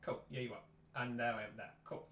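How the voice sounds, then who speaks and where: A man's voice: medium pitch, flat delivery, brisk, fairly bright tone, slight background noise. A man with a Yorkshire accent giving an informal, chatty livestream workshop, explaining things as he goes and repeating words for emphasis. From Yorkshire, England. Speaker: streamer teaching audio drama production.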